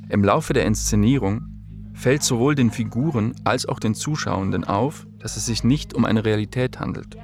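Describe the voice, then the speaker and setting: Man with a fairly low voice for measured documentary narration, set over a music bed. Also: a little mellow.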